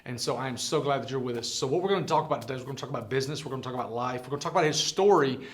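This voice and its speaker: A man's voice: mid-range voice, rapid-fire. A man hosting a casual interview, talking in an effusive, chatty and informal way. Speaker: interview host.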